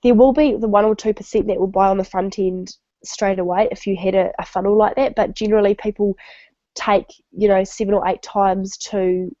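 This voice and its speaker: A woman's voice: medium pitch, fairly even intonation, normal speed, warm tone, dry recording. Nasal woman with a cold, lo-fi call. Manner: casual, many ums and restarts. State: stuffy nose from a cold. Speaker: webinar presenter. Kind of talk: online training talk. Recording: dull lo-fi web call audio.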